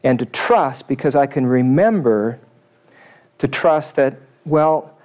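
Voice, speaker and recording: Preacher, male, mid-pitched, thoughtful and measured delivery. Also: expressive, a little mellow. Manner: thoughtful, measured, reads quotes aloud. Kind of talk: sermon.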